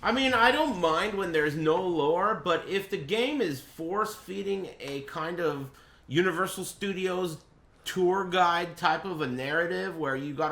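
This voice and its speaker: Guy streamer w a high sharp voice, sweary exasperated game rant, bit of noise behind him. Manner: blunt, exasperated, profane, sarcastic. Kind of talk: casual ranting game commentary, swearing. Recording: roomy echo, faint background noise.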